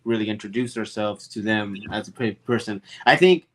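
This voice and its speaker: A man from Puerto Rico, talking earnestly in a casual livestream chat, halting with fillers. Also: fairly low pitch. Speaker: comic co-creator.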